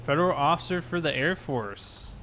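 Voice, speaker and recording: A man's voice: fairly high pitch, expressive, normal speed, a bit bright. Easygoing male game streamer, noisy background. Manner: easygoing, chatting to viewers. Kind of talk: casual live stream commentary while playing. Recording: clear background game vehicle noise. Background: game vehicle and ambience sounds.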